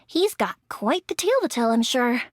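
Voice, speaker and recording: A bubbly young fireworks maker, high and bright, her voice bouncing all over as she chatters. She giggles easily and softens when a story turns serious. It is a dry, close studio recording for a game. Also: sing-song, normal speed, dry recording. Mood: cheerful. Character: cheerful young woman fireworks maker, playful and warm. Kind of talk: game character voice lines. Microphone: close studio mic.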